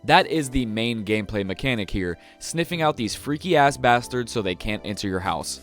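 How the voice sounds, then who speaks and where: A man's voice: mid-range voice, animated, normal speed, fairly bright tone. A young American man reviewing a video game for viewers in a casual, slangy, profane and emphatic way. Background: music under the voice.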